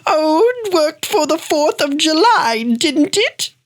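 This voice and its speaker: A woman's voice: sits high, sing-song, slow, heavily acted. A woman voicing a reckless, cheerfully stubborn old grandma inventor in a radio play, breezy and theatrical. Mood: unfazed. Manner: breezy, theatrical, dismissive of worry.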